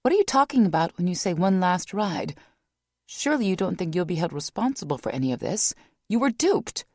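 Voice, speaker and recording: A woman voicing a passionate young woman who pleads in a high, loud, desperate voice. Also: big pitch swings, a little fast, no room sound, clean studio sound. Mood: urgent.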